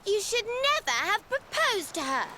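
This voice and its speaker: A brisk, impatient young heroine who scolds and presses for answers. Her voice is very high and bright and swings widely in pitch. A faint ambience sits underneath. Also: sing-song, a little hiss or hum, heavily acted.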